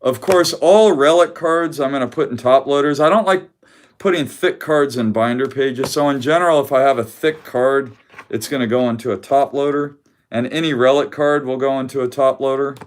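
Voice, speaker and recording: Male streamer at home, loud carrying voice, laughs a lot, chatty and unscripted. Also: mid-range voice, moderate pace. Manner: loud voice that carries, laughs often, rambling with restarts. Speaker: card collector hosting a live stream. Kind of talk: casual live-stream chat. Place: home room with weak Wi-Fi.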